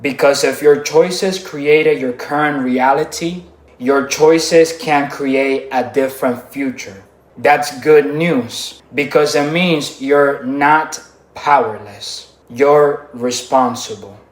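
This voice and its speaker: A man from the Dominican Republic giving a scripted motivational speech in short, punchy, emphatic sentences with deliberate pauses. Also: medium pitch, echoey room. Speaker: motivational speaker.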